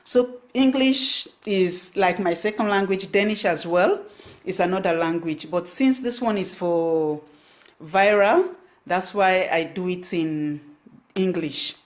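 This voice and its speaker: A woman's voice: lowish voice, takes it slowly. A woman from Sierra Leone with a Sierra Leonean accent, speaking English as a second language, giving business tips on a live stream and stopping to thank viewers by name. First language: Krio. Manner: thanks viewers by name, many fillers and restarts. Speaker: online business coach. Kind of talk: Facebook live stream talk.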